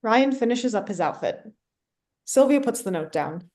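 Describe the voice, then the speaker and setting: A woman's voice: a little high, animated, normal speed, dark tone. A woman reading stage directions as scripted narration for a play.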